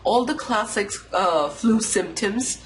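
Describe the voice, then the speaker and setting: Tarot host with a cold: nasal, slightly raspy, unhurried and intuitive, mid-range pitch. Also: a little hiss or hum, low-quality recording. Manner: unhurried, intuitive musing with pauses. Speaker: tarot reader. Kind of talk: pick-a-card tarot reading to camera.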